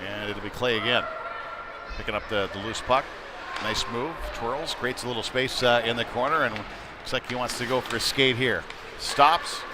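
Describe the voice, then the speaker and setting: A man with a medium-pitched voice does live hockey play-by-play from a noisy indoor rink. He talks conversationally, following the puck, and is quick to lift into excited calls when the action heats up. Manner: conversational, breaks into excited calls during scoring chances. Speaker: play-by-play sports commentator. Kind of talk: live youth hockey commentary. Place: indoor ice rink during a game. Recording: clearly noisy sports rink behind voice.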